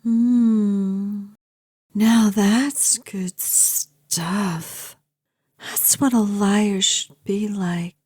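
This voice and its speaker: A woman playing an obsessive, possessive vampire girlfriend in an ASMR roleplay, speaking softly and intimately, often in a whisper. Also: medium pitch, steady tone. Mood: casual, musing. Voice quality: soft, breathy, often whispering. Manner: slow, intimate, casually morbid, doting. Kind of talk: ASMR audio roleplay addressed to the listener.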